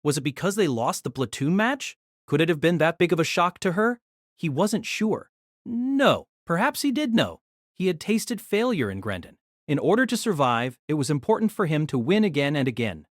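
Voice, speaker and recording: A man narrating a novel aloud in an expressive storytelling manner. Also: fairly high pitch, normal speed, no echo, studio quality.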